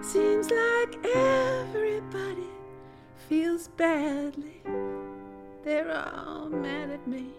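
High-voiced woman singing over piano. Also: drawn-out words. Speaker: singer-songwriter. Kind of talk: sung theatre songs. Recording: loud piano accompaniment. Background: piano music.

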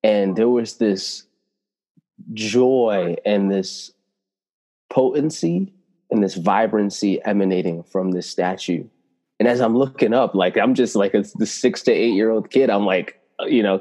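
Low warm-sounding guy, italian first language, earnest slow spiritual storytelling, lots of hall echo. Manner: reflective, flowing, slows to stress key words. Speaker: spiritual teacher and healer.

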